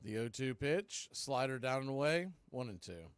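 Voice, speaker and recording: A man's voice: medium pitch, very animated, moderate pace, clean tone, no echo. A man doing live radio baseball play-by-play, with punchy clipped calls and conversational asides.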